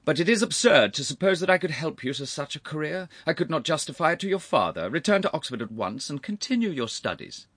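A man's voice: a little high, sing-song, quick pace, few pauses, fairly bright tone, husky, no echo, pitched above the performer's own voice, full character voice. A man voicing a haughty, irascible older aristocratic uncle in a storybook reading, stern and pompous, with cutting formal phrasing. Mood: acid, commanding. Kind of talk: audiobook character voice.

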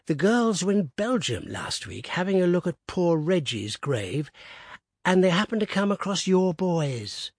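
A man's voice: high voice, big pitch swings, measured pace, slightly rough, no echo, studio quality, pitched above the performer's own voice, full character voice. A man voicing a feeble, talkative old man with a thin, piping, quavering voice. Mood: chattering.

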